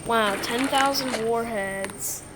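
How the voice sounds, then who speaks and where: Slow, higher-pitched woman in noisy amateur roleplay. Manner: halting, repeats and restarts phrases. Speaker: creator performing a hobby emergency alert roleplay. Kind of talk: amateur scripted roleplay with read-out emergency announcements.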